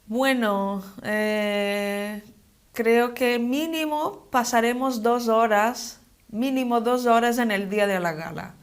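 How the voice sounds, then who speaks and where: Young woman w a foreign accent in her spanish, takes it slow, bit hesitant, chatting in an interview. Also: fairly high pitch. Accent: non-native Spanish. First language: not Spanish, speaks it as a second language. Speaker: interview guest, model and actress. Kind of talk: conversational interview.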